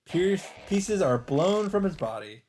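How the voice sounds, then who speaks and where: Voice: medium pitch, very animated, a little slow, a little mellow, slight background noise, rough recording. A let's player reading a visual novel aloud in dramatic narration, breaking off for casual asides and exclamations.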